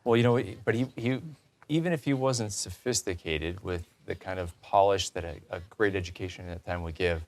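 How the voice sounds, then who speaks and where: Guy hosting an interview show, voice a bit low, chatty, talks his way into questions. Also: fairly quick, a little mellow. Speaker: talk show host. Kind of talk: conversational interviewing.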